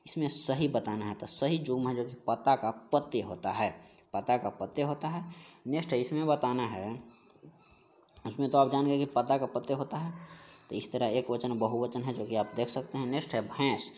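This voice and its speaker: A man's voice: medium pitch, moderate pace. Man teaching. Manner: repetitive, explanatory, stressing answers by restating them. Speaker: Hindi exam-prep teacher. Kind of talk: question-and-answer grammar lesson.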